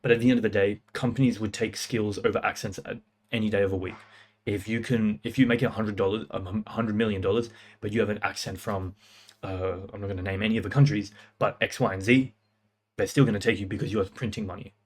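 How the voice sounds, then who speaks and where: A young man, his voice sitting a little low. He talks straight to camera in a casual, self-assured way, firing off short punchy phrases and slang. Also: steady tone, slightly rough. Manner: fast, punchy, confident delivery with slang. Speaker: music producer and sales coach. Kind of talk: casual talking-head advice.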